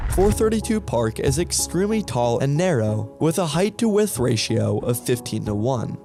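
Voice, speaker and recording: A man delivering scripted explainer narration. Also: medium pitch. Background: clear background music.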